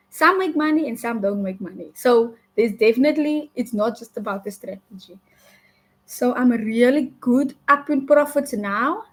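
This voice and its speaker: Halting, higher-voiced Afrikaans-speaking woman. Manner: halting, many restarts and self-corrections. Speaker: live forex trader. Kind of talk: casual live-stream chat while trading.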